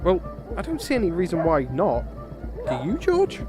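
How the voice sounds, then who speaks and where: A man with a British accent playing a pompous, bumbling gentleman knight in a fantasy cartoon, polite and easily flustered. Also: high-pitched, wildly swooping pitch, moderate pace, over music. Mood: polite, bemused.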